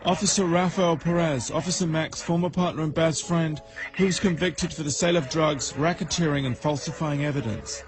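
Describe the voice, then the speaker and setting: A man's voice: fairly high pitch, steady tone, fairly quick. Male documentary narrator over music.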